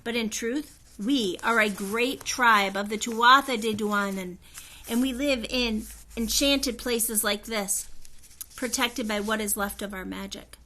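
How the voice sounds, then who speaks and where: A woman voicing a regal fairy queen in a children's storybook, proud and solemn, with a high, clear, stately voice. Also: measured pace, sharp tone. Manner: stately, declamatory. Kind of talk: children's storybook read-aloud.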